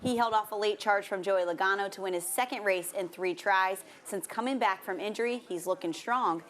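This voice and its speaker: A woman's voice: medium pitch, a little fast, a bit bright. A woman working as a sports anchor, reading scores and match recaps in a broadcast news style. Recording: faint background noise.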